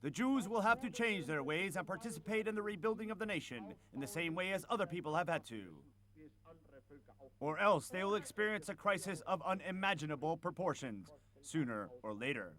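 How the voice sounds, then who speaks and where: A man's voice: high voice, expressive, fairly quick, a bit bright. A man voicing a menacing, fanatical political orator in a translated speech, declamatory and emphatic. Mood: stern, threatening. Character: menacing, fanatical male fascist orator addressing comrades.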